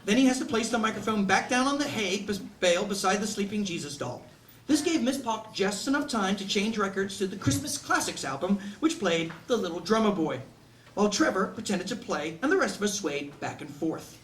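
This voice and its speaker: Male author, live comic story reading, animated delivery. Echoey room, off-mic sound, audience present. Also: high voice, fairly quick, recorded from a distance, slight background noise. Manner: animated comic storyteller, acts out quoted lines. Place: indoor bookstore reading event. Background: laughing audience.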